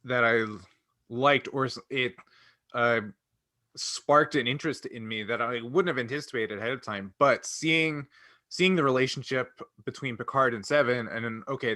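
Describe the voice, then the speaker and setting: Bright-voiced man in a dry room. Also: mid-range voice, moderate pace, no echo. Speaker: podcast panelist. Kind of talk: casual fan discussion of a TV series.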